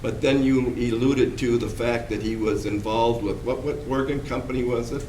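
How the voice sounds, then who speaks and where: Older guy telling old family stories, halting and restarting, sounds far off in an echoey room. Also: medium pitch, steady tone, a little mellow, a little hiss or hum. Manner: halting, with many restarts and pauses. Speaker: audience member, descendant. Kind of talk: spontaneous reminiscing from the audience. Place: meeting room at a public talk. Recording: roomy hall, mic at a distance.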